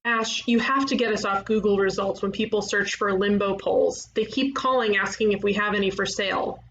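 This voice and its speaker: A woman playing a headstrong, blunt thirty-year-old detective in an animated comedy table read. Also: mid-range voice, normal speed, lightly acted. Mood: annoyed, bossy. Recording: muffled lo-fi remote microphone, faint noise.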